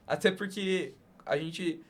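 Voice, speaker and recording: A man's voice: sits high, slow pace. A man with a Brazilian accent hosting a casual podcast interview. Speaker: podcast host. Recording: faint background noise and ambience.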